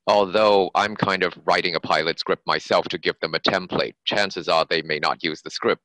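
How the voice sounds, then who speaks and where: A man's voice: low voice, sharp tone, no echo, rough recording. A man speaking as an author and interview guest, explaining his work conversationally in long flowing sentences.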